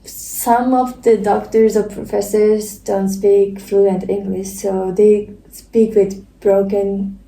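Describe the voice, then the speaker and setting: Slow soft-spoken young japanese woman, mellow voice, roomy echo. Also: medium pitch, flat delivery, creaky, distant mic, a little hiss or hum. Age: 20s. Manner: unhurried, soft, trailing off. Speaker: podcast co-host. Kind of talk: casual chat. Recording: echoey room, faint ambience.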